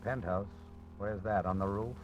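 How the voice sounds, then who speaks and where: A man's voice: lowish voice, fairly even intonation, normal speed, faint music behind, close to an everyday voice. A man acts out a sly, aging killer posing as a night elevator operator in an old radio thriller. His offhand, prying lines come through a dull, lo-fi 1940s broadcast. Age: older.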